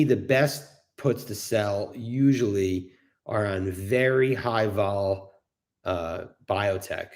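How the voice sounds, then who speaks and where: A middle-aged man giving casual, opinionated market commentary as an investor hosting a discussion, explaining his points with emphasis. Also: low-pitched. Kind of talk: casual off-the-cuff market commentary with audience questions.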